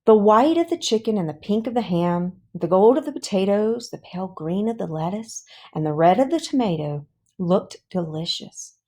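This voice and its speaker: A woman reading a children's book aloud as an audiobook, with deliberate, expressive phrasing for young listeners. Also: mid-range voice.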